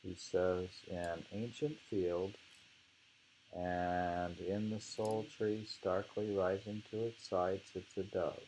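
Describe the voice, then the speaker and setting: A man's voice: low voice, steady tone, slow, a little mellow, slight background noise, rough recording. A man reading aloud from a book of poetry and commenting informally, in a rambling, musing manner. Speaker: reader leading a poetry book discussion.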